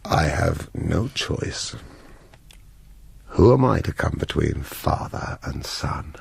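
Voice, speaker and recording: Very deep, gravelly Gothic lord. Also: male voice, lively intonation, heavily acted. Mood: brooding, grudging. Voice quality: very gravelly, husky. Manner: slow, brooding, weighty delivery. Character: menacing, grudging lord of a Gothic castle. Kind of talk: Gothic radio drama acting.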